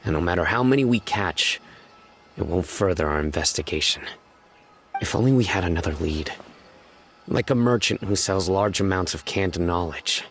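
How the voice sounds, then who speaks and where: An actor playing a stern investigator in a video game, measured, grave and commanding. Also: male voice, sits low, animated, fairly bright tone, faint music behind. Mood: analytical. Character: stern, deep-voiced male investigator and judge.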